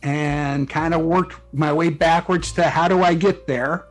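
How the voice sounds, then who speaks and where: A man with a fairly high voice talks as a guest author in a casual interview. He explains his thoughts informally and reflectively, sometimes haltingly. Music plays faintly behind him. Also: fairly even intonation. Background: background music.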